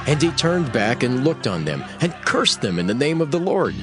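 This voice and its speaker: A man narrating scripture as a dramatized audio Bible, in a measured, expressive storytelling manner. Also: medium pitch. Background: background music.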